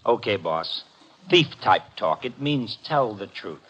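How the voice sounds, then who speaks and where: An actor playing a cocky, wisecracking detective's assistant in a 1950s radio mystery, breezy and slangy. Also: male voice, lowish voice, animated, slow pace, fairly bright tone, heavily acted. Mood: smug. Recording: dull vintage 1950 radio recording.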